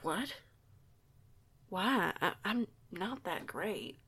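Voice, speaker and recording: A woman's voice: medium pitch, very animated, extremely slow, bright, forceful tone, breathy edge, exaggerated voice. A woman playing a shy young female werewolf newcomer in an audio roleplay, hesitant, folksy and polite. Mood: timid, unsure.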